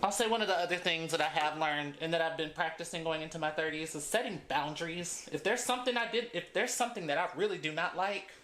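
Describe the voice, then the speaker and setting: A woman's voice: sits low, fast, hardly any pauses, fairly bright tone, echoey room. A woman in her thirties chatting casually as she braids her hair for her vlog, laughing often between thoughts. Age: 30s. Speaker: beauty vlogger.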